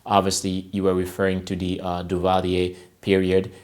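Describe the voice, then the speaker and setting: Man, TV interview host, low voice, broadcast interview delivery. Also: completely flat delivery, normal speed, darkish tone.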